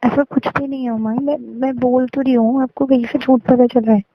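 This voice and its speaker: A woman's voice: high voice, fairly even intonation, a little fast, no echo. A young woman arguing on a phone call, defensive and insistent as she denies things. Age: young adult. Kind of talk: relationship argument in casual talk. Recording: dull lo-fi call recording.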